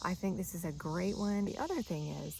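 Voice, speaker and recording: A woman's voice: fairly low pitch, expressive, a little fast, gravelly, darkish tone, slight background noise, poor audio. A woman giving a casual, chatty, instructional product demonstration. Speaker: product reviewer.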